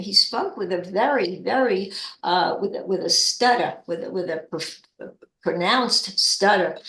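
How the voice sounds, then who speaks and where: An older American woman on a video call, sharing spiritual reflections in a halting, thoughtful way with many restarts. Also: mid-range voice, vocal fry, fairly bright tone, roomy sound, low-quality recording. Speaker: group member sharing reflections. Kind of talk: casual spiritual discussion. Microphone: online video call.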